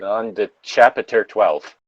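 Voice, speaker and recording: A man's voice: on the low side, slow, no room sound. A man reading fan fiction aloud with casual commentary and wry, joking asides, recorded close on slightly lo-fi audio. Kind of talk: casual comedic commentary and read-along.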